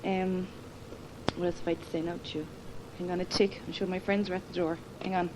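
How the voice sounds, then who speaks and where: A teenage girl from Cork with a Cork Irish accent, talking fast and jokingly on a tape letter, quick to protest and laugh. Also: fairly low pitch, flat delivery. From Ireland. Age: late teens. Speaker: schoolgirl recording a tape letter. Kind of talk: casual chat recorded on a home cassette. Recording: hissy 1980s cassette tape.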